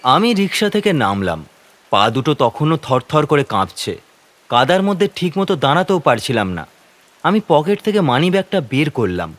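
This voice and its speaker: A man's voice: on the high side, sing-song, moderate pace. A man narrating a first-person horror story in a suspenseful, theatrical manner.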